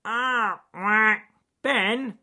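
A man's voice: sits high, drawn-out words, bright, forceful tone, pitched above the performer's own voice, full character voice. A man voicing a chatty, frightened lame duck who quacks and groans as he talks, in a children's story. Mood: groaning. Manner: quacking and groaning between phrases.

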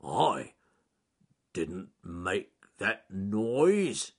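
Man as a slow, growly, grumbling bear. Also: low voice, sing-song, heavily acted. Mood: puzzled. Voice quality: growly, husky. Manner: ponderous, drawn-out, grumbling. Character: large slow grumbling male bear, gloomy and proud.

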